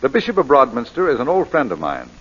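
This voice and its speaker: An actor playing an urbane, lovestruck English lord with a flowery, wry manner in a 1940s radio drama. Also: male voice, medium pitch, huge pitch swings, fast talker, fairly bright tone, husky, heavily acted. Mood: earnest, smitten. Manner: flowery, wry, self-mocking. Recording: muffled vintage 1940s radio recording.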